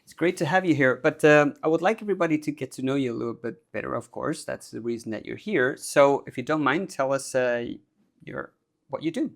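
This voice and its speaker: A man's voice: mid-range voice, brisk, gravelly. A man working as an interview host, asking questions in a casual, conversational way.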